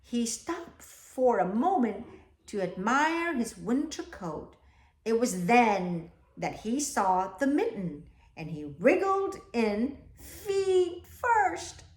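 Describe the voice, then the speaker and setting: A woman reading a children's picture book aloud expressively, often pausing to ask her young listeners questions. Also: a little high, sing-song. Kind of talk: children's read-aloud, alternating slow reading with asides about the pictures. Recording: echoey, roomy sound.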